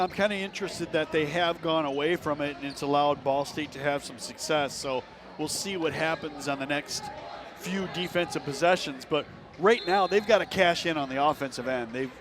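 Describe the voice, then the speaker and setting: A male color analyst with a somewhat high voice comments on live basketball for radio. He talks conversationally, explaining the plays and breaking down what players did.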